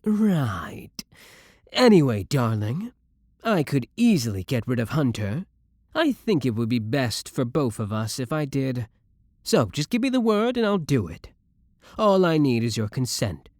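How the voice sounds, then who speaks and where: A man's voice: mid-range voice, sing-song, measured pace, a little mellow, dry recording, studio quality. A young man acting in an audio roleplay as a clingy, obsessive boyfriend, theatrically doting and smug, scornful of his rival. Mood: insistent.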